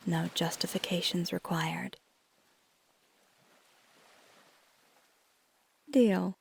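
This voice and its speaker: A husky, breathy vampire woman, acted by a female performer murmurs close and intimate in an ASMR roleplay. She takes her time, with a gravelly fry at the edges of her half-whisper. Her manner is protective and reassuring, with a flirty, teasing streak. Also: fairly low pitch, full character voice. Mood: earnest, caring. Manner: tender, reassuring, unhurried. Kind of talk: ASMR roleplay, intimate one-sided dialogue.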